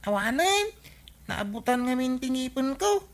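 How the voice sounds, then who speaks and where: A woman's voice: high voice, sing-song, measured pace. A woman playing an innocent young child, a sweet-loving niece, in a radio comedy drama.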